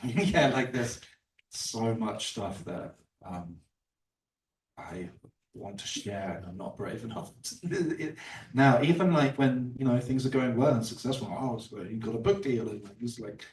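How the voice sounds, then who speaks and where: A man's voice: mid-range voice, a little slow, darkish tone. A man, an author, talking casually about his book at a bookstore event, rambling with many fillers and restarts. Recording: roomy, distant from the mic.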